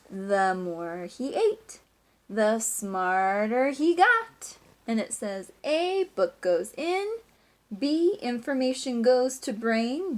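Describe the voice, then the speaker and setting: A woman teacher doing an animated read-aloud of a children's story, with chatty asides and questions to young listeners. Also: fairly high pitch. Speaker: teacher reading aloud to class.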